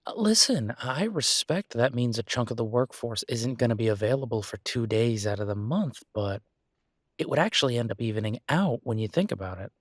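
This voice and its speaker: A man's voice: medium pitch, animated, brisk, dry recording, studio quality, close to an everyday voice. A man playing an easygoing, supportive boyfriend in an intimate one-sided roleplay audio, talking casually and reassuringly with folksy turns of phrase. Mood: reassuring, matter-of-fact.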